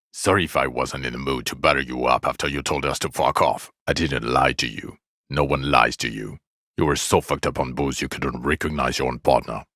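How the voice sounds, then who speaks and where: Jaded male detective, extremely low voice, dry studio sound, sarcastic, exasperated, foul-mouthed, video game character. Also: vocal fry, pushed and bright, no echo, full character voice. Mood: weary, reproachful.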